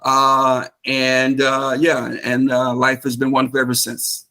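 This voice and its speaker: A man's voice: mid-range voice, monotone, unhurried, bright, forceful tone. Affable American man in his fifties. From Georgia, United States. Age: 50s. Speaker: interview guest, real estate investor. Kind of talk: casual conversational storytelling.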